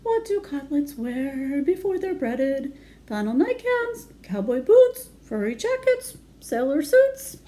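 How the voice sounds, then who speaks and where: A woman's voice: high-pitched, very animated, slow, warm tone, exaggerated voice. A woman voicing a fussy, singsong young girl in a read-aloud storybook. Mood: playful singsong.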